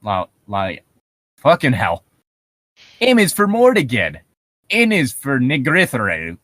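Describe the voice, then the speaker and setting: Slow, rambling man with swooping pitch. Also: wildly swooping pitch, fairly bright tone, dry recording. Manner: rambling, profane. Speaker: podcast co-host. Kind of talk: casual chat, riffing commentary on horror stories.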